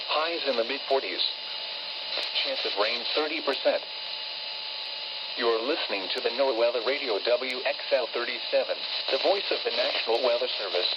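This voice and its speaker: A synthetic man's voice reading a formal, scripted weather forecast as an automated radio announcer. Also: mid-range voice. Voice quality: synthetic text-to-speech voice. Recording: muffled radio broadcast with loud static. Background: radio static.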